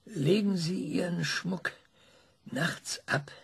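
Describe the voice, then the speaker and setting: A man's voice: high-pitched, slow pace, creaky, background noise, heavily acted. An actor in a radio play, playing an eccentric, cranky older man being interviewed, who hesitates often and lectures. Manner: hesitant, many ums, lecturing.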